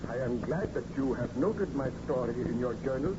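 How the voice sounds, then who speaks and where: A man's voice: mid-range voice, animated, normal speed, close to an everyday voice. A man playing an anguished, haunted baron in formal, theatrical old radio drama style. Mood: weary, haunted. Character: anguished, recovering Baron, haunted and horrified by his creation. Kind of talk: 1930s radio acting. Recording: dull, noisy old radio recording.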